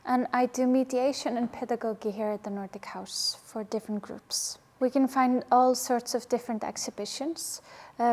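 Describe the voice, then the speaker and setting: A woman's voice: a little high, warm tone. A woman from Iceland with an Icelandic accent, speaking English as a second language while explaining her work as a museum educator.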